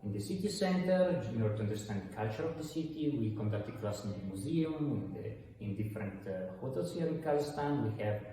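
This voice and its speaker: Italian dean, hesitant speech with fillers, mellow low voice, distant mic in an echoey hall. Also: male voice, lively intonation, fairly quick, few pauses, slight background noise. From Italy. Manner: hesitant, many fillers and restarts. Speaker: university dean. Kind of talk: interview answers.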